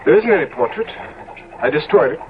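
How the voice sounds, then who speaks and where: An actor playing a dissolute young London gentleman in a 1970s radio drama, evasive, clipped and haughty. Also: a little high, very animated, brisk, narrow, tinny audio. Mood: evasive, uneasy. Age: young adult. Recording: thin, hissy old radio recording.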